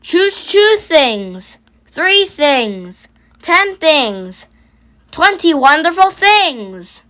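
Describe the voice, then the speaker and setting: A woman's voice: high voice, sing-song, drawn-out words, sharp tone, full character voice. A woman voicing an enthusiastic male count who loves numbers, in a storybook.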